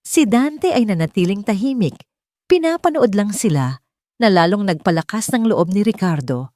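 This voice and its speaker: A woman's voice: mid-range voice, expressive, moderate pace, darkish tone, no room sound, studio quality. A woman with a Filipino accent narrating a dramatic scripted audiobook story.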